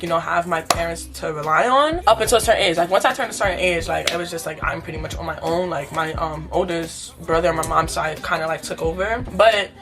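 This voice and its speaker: A young woman, answering viewer questions in a candid, chatty way and filling her talk with "like". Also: lowish voice. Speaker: vlogger. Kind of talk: casual Q&A monologue. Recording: music playing clearly behind.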